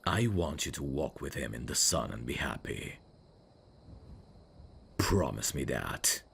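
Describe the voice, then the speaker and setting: A man playing a brooding evil prince, tender and sorrowfully noble, speaking in a slow, formal, wistful way for an intimate ASMR fantasy roleplay. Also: extremely low pitch, very animated, vocal fry, a bit bright, a little hiss or hum, heavily acted. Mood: tender, solemn.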